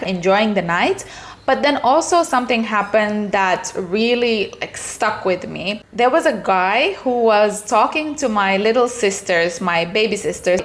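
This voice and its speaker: A young adult woman from Finland, telling a personal story in a candid, earnest vlog. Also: medium pitch. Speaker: vlogger.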